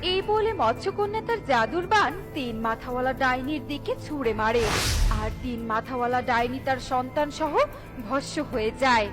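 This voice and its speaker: Lady narrator telling a scripted story, music clearly behind her. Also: high voice, moderate pace.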